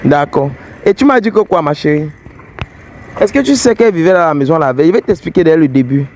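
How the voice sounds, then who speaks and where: A man with an English-speaking Cameroonian accent hosts a street confrontation vlog. His voice sits high for a man, and his manner is blunt and teasing. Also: expressive, a little fast, darkish tone, slight background noise. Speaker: fidelity tester vlogger host.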